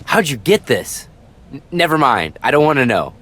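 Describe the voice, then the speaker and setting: An actor playing a modest, doubtful underdog wrestler in a video game, mild and a little hesitant. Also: male voice, mid-range voice, very animated, normal speed, a bit bright. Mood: doubtful, friendly. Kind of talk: video game cutscene acting.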